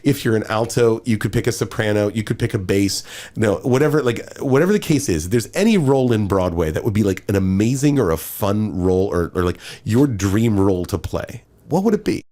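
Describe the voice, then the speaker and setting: A lower-voiced man hosting a parish podcast as its priest. He chats casually and eagerly, rising and falling as he tells stories, with lots of fillers along the way. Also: fairly quick. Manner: animated, enthusiastic, many fillers.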